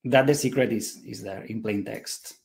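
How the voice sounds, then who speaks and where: A man's voice: lowish voice, normal speed, vocal fry, background noise. Hesitant man giving a technical talk. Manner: hesitant, many fillers and restarts. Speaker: conference presenter. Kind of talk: technical talk with live demo.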